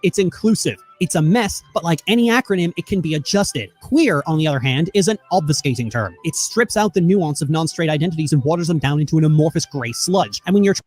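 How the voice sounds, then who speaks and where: Man, raised pitch, rapid-fire delivery, scripted opinion essay, wordy, argumentative, emphatic. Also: lively intonation, darkish tone, breathy edge, faint music behind. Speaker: video essayist.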